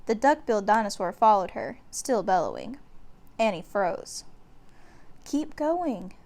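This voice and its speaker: A woman's voice: medium pitch, animated, normal speed, vocal fry, a little mellow. A woman narrating a children's book aloud, giving the descriptions dramatic emphasis. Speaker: storyteller.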